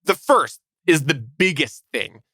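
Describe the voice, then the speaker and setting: A man's voice: a little high, expressive, unhurried, pushed and bright, breathy edge, no room sound. A man hosting a podcast in a casual, technical explainer style, often qualifying his points with asides, on a close studio microphone. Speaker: podcast host. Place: quiet, dry home studio. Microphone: close studio microphone through preamp.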